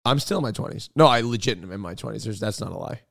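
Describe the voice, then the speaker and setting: A man's voice: fairly low pitch, animated, fast, creaky, a bit bright, dry recording, studio quality. A man in his twenties co-hosting a podcast, chatting casually, self-deprecating and stammering through restarts. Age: 20s. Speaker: podcast co-host. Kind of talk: casual chat.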